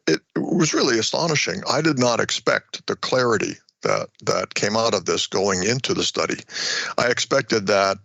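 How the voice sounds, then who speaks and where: An older American man giving explanatory interview answers as an academic guest, halting and often repeating words as he goes. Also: fairly low pitch, gravelly, a bit bright, no echo. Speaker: retired war college professor, research guest.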